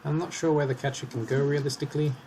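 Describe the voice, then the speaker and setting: Man calmly commentating his own game. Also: fairly high pitch, fast talker, creaky, a little hiss or hum. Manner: calm, analytical, talks through his moves. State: slightly hoarse.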